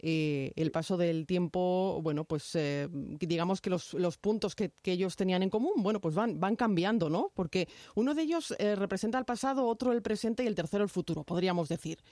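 A woman's voice: on the low side, a little fast, few pauses, mellow, no echo, clean studio sound. A woman hosting a radio theatre program, interviewing guests in a chatty way with many fillers. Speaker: radio host. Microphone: studio mic.

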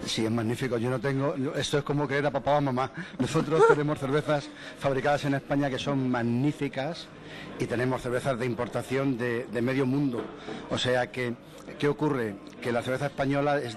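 A man interviewed as a business owner, answering with enthusiastic, salesy praise. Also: mid-range voice. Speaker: bar owner guest.

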